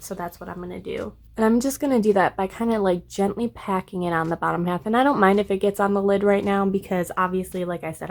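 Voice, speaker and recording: A young woman, slightly hoarse and congested, chatting casually through a makeup tutorial. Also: medium pitch. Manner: chatty, upbeat, trailing off with fillers. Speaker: beauty vlogger.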